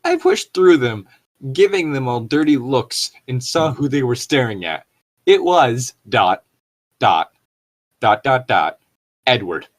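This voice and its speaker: Guy doing a comedy read-along of awful fanfic, super animated pitch, mocking, amused, swears casually. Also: slow. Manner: amused, mocking, breaking into chuckles. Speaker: co-host reading bad fan fiction aloud. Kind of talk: comic read-aloud with casual, sweary commentary.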